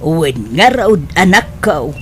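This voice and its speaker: A woman's voice: sits low, very animated, slow, over loud music, full character voice. A woman with an Ilocano accent playing a caring, doting mother of a grown son in a family audio drama. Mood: gentle, supportive. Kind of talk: Ilocano radio-style family drama acting.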